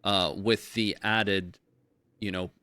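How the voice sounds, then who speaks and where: A man hosting an interview, talking analytically and haltingly, with many restarts and filler phrases. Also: lowish voice, fairly even intonation, bright, forceful tone, dry recording. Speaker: interview host. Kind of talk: casual interview talk.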